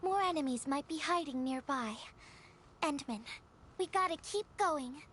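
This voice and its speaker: A woman voicing a gentle, playful young courier in a video game, with a soft, teasing and earnest delivery. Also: high-pitched, a little slow, a little hiss or hum. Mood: playful, encouraging.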